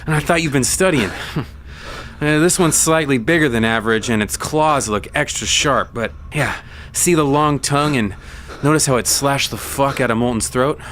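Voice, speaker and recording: A man's voice: medium pitch, normal speed, fairly bright tone, a little hiss or hum, natural voice. A man playing a brash, foul-mouthed young cryptid hunter with slangy swagger in an audio drama. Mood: cocky, mocking.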